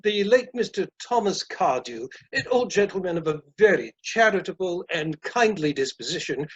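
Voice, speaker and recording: A man playing a polite, flustered gentleman suitor in a comic play reading, hesitant, formal and apologetic. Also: high-pitched, breathy edge, lo-fi sound.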